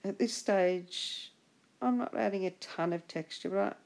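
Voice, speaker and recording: A woman art instructor narrates a drawing lesson in a relaxed, chatty voice-over. Her voice is husky and gravelly from a chest infection. She keeps an encouraging tone and often trails off before restarting a thought. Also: mid-range voice, measured pace.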